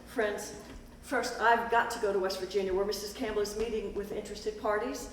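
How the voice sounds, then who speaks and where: A woman's voice: mid-range voice, fairly even intonation, normal speed, lightly acted. Woman playing gracious 1920s lady organizer, echoey hall. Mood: friendly, cautious. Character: Miss Butler, earnest gracious woman school organizer of the 1920s. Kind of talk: amateur stage play, narrating and acting. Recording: distant echoey hall recording, background noise.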